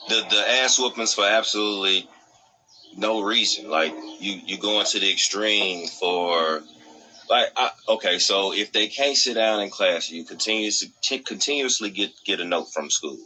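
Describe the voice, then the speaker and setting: A man's voice: fairly low pitch, normal speed, bright, forceful tone, a little hiss or hum, rough recording. An American man in casual podcast chat as co-host, blunt and slangy, repeating himself for emphasis.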